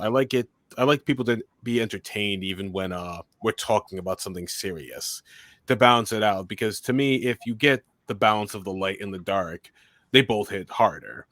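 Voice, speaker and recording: A man speaking as a guest in an interview, answering at length in a steady monotone voice. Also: fairly low pitch, no echo. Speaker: guest filmmaker and commentator.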